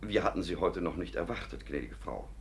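An actor in a 1950s German radio play playing a dignified manservant with a stiff, deferential, measured manner. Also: male voice, sits low, expressive, vocal fry. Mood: stiff, reserved. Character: formal, dignified manservant of a rich household.

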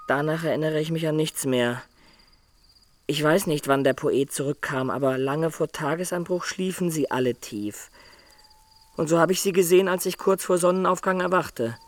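Man as an uneasy medieval guard, highish voice. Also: normal speed, dry recording, close to an everyday voice. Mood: uneasy, earnest. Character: uneasy, dutiful medieval guard recounting a troubled night. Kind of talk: radio play acting.

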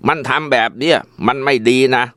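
A man voicing a strict middle-aged father who scolds loudly. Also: mid-range voice, fairly quick, no room sound, studio quality, voice pushed up, lightly acted. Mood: furious. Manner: shouting, scolding.

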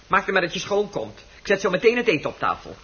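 Sharp-tongued nagging housewife, curt and scolding, swooping intonation, radio drama, dull old recording. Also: female voice, medium pitch, big pitch swings, fast talker, breathy edge, exaggerated voice. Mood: exasperated, scolding. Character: nagging, exasperated housewife scolding her husband. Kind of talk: Dutch radio play acting.

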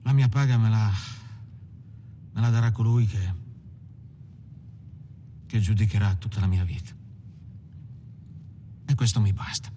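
An actor playing a weary, pious, repentant gangster, halting and earnest in a confessional film monologue. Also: male voice, on the low side, steady tone, mellow, a little hiss or hum, lightly acted. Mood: pleading, resigned. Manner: halting, repeating himself, earnest. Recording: music behind the voice.

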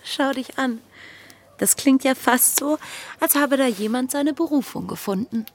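A woman acting a defiant young woman yearning for adventure in a German radio drama, impassioned and expressive. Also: high voice, a little slow. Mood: teasing, taken aback. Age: young adult.

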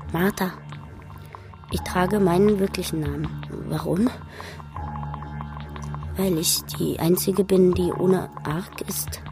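A woman playing a pensive, innocent figure in a radio drama monologue, musing in short halting phrases. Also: fairly low pitch, gravelly. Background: music.

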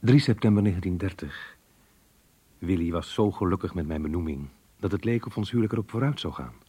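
Casting: weary, self-doubting husband and schoolmaster, radio drama, deep restrained voice. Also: male voice, a little fast, warm tone, husky, no room sound. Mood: weary, irritated. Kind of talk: Dutch radio play acting and monologue.

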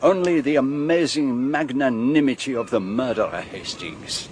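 An actor playing a courteous, methodical Belgian detective in a radio drama, with a French-speaking Belgian accent and formal, precise phrasing. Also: male voice, medium pitch, normal speed, darkish tone, slight background noise, lightly acted. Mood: thoughtful.

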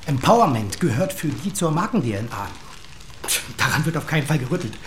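A man's voice: fairly high pitch, big pitch swings, brisk. A slick advertising creative in a radio drama, self-assured and glib. He leans on agency jargon and justifies himself readily. Natural, conversational delivery over faint background noise. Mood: pitching.

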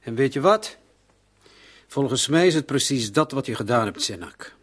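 An actor playing a shrewd, authoritative police commissioner in a radio drama, deliberate and commanding. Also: male voice, medium pitch, expressive, fairly bright tone, husky. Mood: accusing. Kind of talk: radio drama acting in dialogue.

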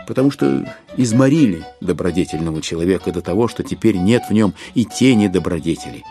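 A man narrating a literary audio drama in an expressive, theatrical way with deliberate dramatic phrasing. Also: low-pitched, faint music behind.

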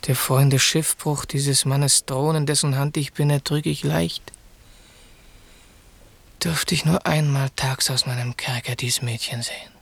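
An actor playing a grieving, noble young prince in a classic German radio drama, speaking lofty verse in a husky, declamatory way. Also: male voice, mid-range voice, steady tone, a little slow, fairly bright tone. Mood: weary, yearning.

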